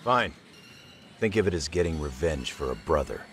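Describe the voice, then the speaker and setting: A man playing a gruff, hot-headed, loyal ex-yakuza in an English-dubbed crime game, with a blunt, defensive manner. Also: rumbling low, lively intonation, moderate pace, faint music behind. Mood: defensive, heated.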